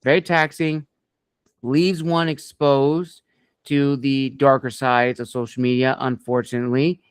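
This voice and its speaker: A man hosting an interview podcast, asking long questions in an earnest, measured and somewhat formal way. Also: mid-range voice, little pitch movement, clear, resonant tone, no echo, studio quality. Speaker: podcast host, interviewer and author.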